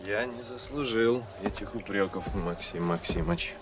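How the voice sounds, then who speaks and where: An actor playing a reserved, polite gentleman traveller who narrates and speaks in a literary radio play, measured and reflective. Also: lowish voice, natural voice. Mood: restrained courtesy. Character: reserved, courteous gentleman traveller telling his story. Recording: noisy old radio drama recording.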